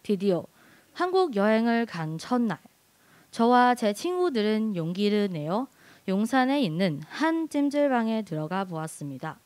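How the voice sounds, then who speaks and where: A woman's voice: medium pitch, sing-song, normal speed, darkish tone, dry recording. A woman giving a prepared speech in a learned language, telling a personal story expressively. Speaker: speech contestant.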